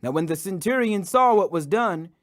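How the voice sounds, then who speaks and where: A man narrates a scripture audiobook in a strikingly high-pitched voice. His delivery is solemn and declamatory, shaped as a dramatic reading. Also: animated, normal speed. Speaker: scripture reader.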